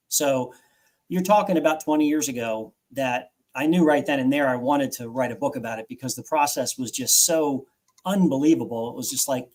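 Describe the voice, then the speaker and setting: A man's voice: medium pitch, darkish tone. A man in his forties, a guest author recounting his own story in an interview, earnest and reflective, often restarting phrases.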